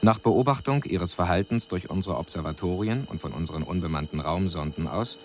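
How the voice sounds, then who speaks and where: An actor playing an alien envoy in a radio drama, delivering a formal report in a measured, precise, clinical manner. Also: male voice, low-pitched, expressive. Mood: detached, formal. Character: male alien envoy reporting coolly on a doomed city. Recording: radio drama recording with audible background noise.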